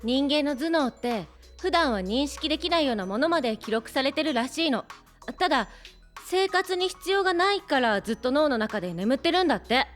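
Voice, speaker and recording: Young woman, high voice, friendly, encouraging, casual feminine phrasing, audio drama, light music behind. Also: animated, a little fast. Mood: explanatory. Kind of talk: Japanese audio drama acting.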